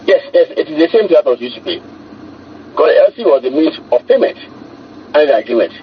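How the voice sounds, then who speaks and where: On a muffled, slightly noisy phone recording, a Ghanaian man talks rapidly in a medium-pitched voice. He doubles up on words and breaks in with short, clipped phrases. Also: sing-song. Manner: clipped interjections, repeats words. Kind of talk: private phone conversation.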